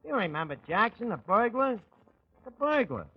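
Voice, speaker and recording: An actor playing a slangy, wisecracking New York tavern manager in a 1940s radio comedy. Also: male voice, high-pitched, big pitch swings, moderate pace, slightly rough, heavily acted. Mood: chatty, wry. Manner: slangy, ungrammatical, sardonic. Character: wisecracking, malaprop-prone male manager of a tavern. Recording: muffled 1940s radio broadcast.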